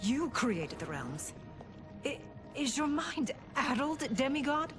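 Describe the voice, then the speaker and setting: A woman's voice: sits high, sing-song, takes it slowly, over music, full character voice. A woman playing a haughty young princess with a fiery temper in a video game, speaking sharply and scornfully. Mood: incredulous, scornful. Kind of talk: dramatic video game acting.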